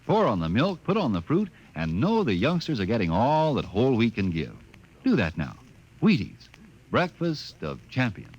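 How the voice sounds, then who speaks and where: A man's voice: mid-range voice, wildly swooping pitch, moderate pace, darkish tone, muffled recording. Old radio ad guy selling cereal, super bouncy voice, a bit hissy. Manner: chummy, persuasive. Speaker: radio announcer. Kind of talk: 1950s radio cereal commercial. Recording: old radio recording with hiss.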